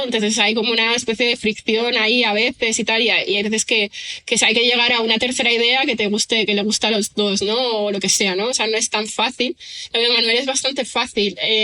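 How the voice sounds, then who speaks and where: Woman from chatting on a podcast, bright voice, kinda monotone, lots of um and o sea. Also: on the high side. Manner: thoughtful, rambling with many fillers and restarts. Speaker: writer and screenwriter guest. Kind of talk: casual podcast interview.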